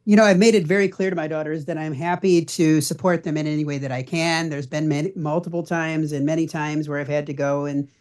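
A man's voice: fairly high pitch, steady tone, fairly quick, hardly any pauses, darkish tone. A middle-aged man answering as a podcast guest in an earnest, explanatory, conversational way. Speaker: podcast guest, parenting blogger.

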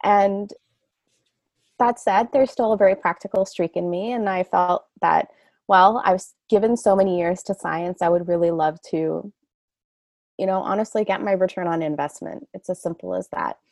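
A woman's voice: fairly low pitch, normal speed, darkish tone, no echo, clean studio sound. A middle-aged woman from Canada, a guest on a podcast, speaking earnestly and reflectively in long flowing sentences. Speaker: guest, coach. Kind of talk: podcast interview.

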